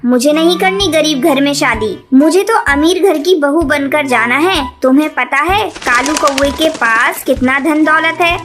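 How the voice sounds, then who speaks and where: A woman's voice: sits high, a little slow, few pauses. A woman voicing a boastful, greedy young female bird in a cartoon, disdainful in manner. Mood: defiant. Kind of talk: Hindi cartoon voice acting. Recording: music behind the voice.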